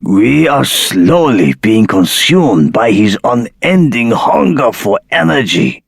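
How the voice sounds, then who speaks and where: A man playing a solemn elderly dragon-folk elder in a video game, speaking in a measured, formal way. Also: medium pitch, sing-song, creaky, husky, no echo, heavily acted. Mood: grave. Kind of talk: scripted game voice acting.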